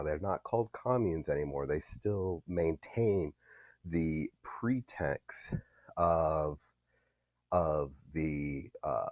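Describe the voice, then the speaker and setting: A man's voice: a bass voice, a little mellow, no echo. A male history teacher giving a recorded lecture, explaining steadily with some fillers.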